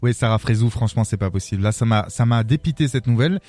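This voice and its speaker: A man's voice: medium pitch, fast, darkish tone, no room sound. A man hosting a live radio show from the studio, joking, teasing his co-hosts and laughing often. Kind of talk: jokey live radio banter. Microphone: studio microphone.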